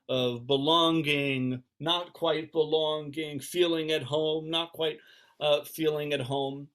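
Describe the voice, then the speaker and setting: A man's voice: fairly high pitch, fairly bright tone. An American man, around his thirties, gives an informal, personal talk over a video call. He speaks as a rabbi and author, feeling his way through sentences and often doubling back to repeat or restart a phrase. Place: indoors at home on a video call.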